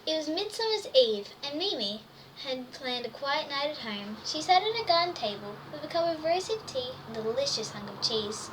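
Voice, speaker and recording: A woman's voice: high-pitched, big pitch swings, normal speed, a bit bright. A woman reading a children's storybook aloud expressively, full of wonder and exclamation, addressing young listeners. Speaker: storybook reader. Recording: distant mic, faint background noise.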